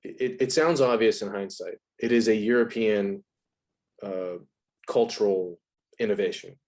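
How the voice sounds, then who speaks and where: A man's voice: fairly low pitch, measured pace, darkish tone. A man talking as an interview guest, explaining ideas in a casual, conversational way.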